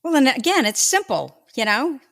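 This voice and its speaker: A woman's voice: high-pitched, big pitch swings, moderate pace, fairly bright tone. A woman hosting a casual podcast interview, enthusiastic and affirming, laughing along with her guest. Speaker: podcast host.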